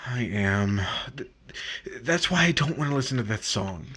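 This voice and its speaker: A man acting out an awkward, brooding lover in a vintage radio romance. His voice is husky and mid-pitched. He speaks earnestly but haltingly. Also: lively intonation, fairly bright tone. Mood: irritated, brooding. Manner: hesitant, halting. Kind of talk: old-time radio romantic drama acting.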